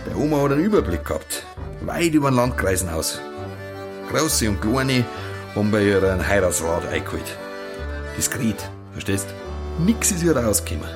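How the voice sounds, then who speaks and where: A man's voice: fairly low pitch, very animated, moderate pace, music in the background. An actor playing an insistent, scheming young village man in a radio drama, explaining emphatically with a Bavarian accent. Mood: emphatic explaining.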